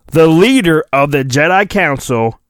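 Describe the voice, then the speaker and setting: A man's voice: fairly high pitch, expressive, unhurried, no room sound, clean studio sound. A man hosting a podcast, giving a hammy, irreverent storybook reading with joking asides. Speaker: podcast host.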